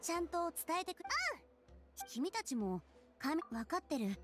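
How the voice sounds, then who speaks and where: A woman voicing a small, excitable childlike fairy companion in an anime-style game. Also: very high voice, fairly quick, over loud music. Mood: earnest. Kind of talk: Japanese anime game voice acting.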